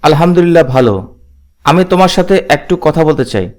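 Guy doing a casual q&a demo, slightly high voice, talks fast. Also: animated. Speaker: demo presenter asking questions. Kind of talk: casual spoken demo, questioning.

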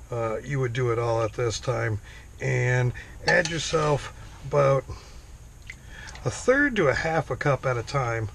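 A man hosting a home cooking show, explaining each step in a relaxed, halting way with many fillers. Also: medium pitch, background noise. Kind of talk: casual cooking demonstration. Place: home kitchen, indoors.

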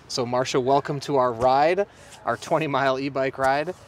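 A man's voice: medium pitch, steady tone, normal speed. A man hosting on camera outdoors, interviewing people in an upbeat presenter style. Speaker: host, company CEO. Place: outdoors by traffic. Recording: outdoor recording with clear street noise.

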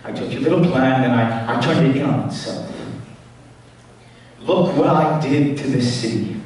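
A young man performing a sinister, taunting, unhinged clown villain in a theatrical stage monologue, with menacing dramatic pauses and sudden swells. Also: fairly high pitch, a little mellow. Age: teenager or young adult. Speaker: talent show performer. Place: school auditorium stage. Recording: echoey hall from far off, faint noise.

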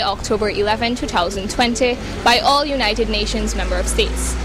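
Brief: twenty-year-old woman, news reporter, slightly high voice, firm and earnest, handheld mic outdoors. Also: a bit bright, slight background noise, low-quality recording. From Guyana. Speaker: reporter and agronomy student. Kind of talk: news report and interview answers. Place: outdoors by a building.

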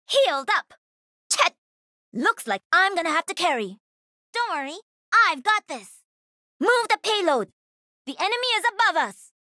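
An actress playing a cocky, playful young pro-gamer mech pilot in a video game, with peppy, punchy delivery. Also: female voice, extremely high pitch, sharp tone, no room sound, studio quality, heavily acted. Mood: alert, brisk. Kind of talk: video game character voice lines.

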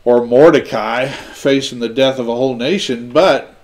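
A man's voice: medium pitch, normal speed, a little mellow. A man in his sixties hosting a panel discussion, talking in a conversational way and gesturing as he speaks. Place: small indoor studio set.

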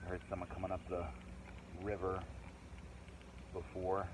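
A man's voice: low-pitched, completely flat delivery, moderate pace. A middle-aged man vlogging alone while camping in the woods, chatty and thinking aloud with rambling asides, recorded on a phone outdoors. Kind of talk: casual solo camping vlog. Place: outdoors in a wooded island. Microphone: phone camera, handheld selfie or set down nearby. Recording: noisy outdoor phone audio. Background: birds and outdoor ambience.

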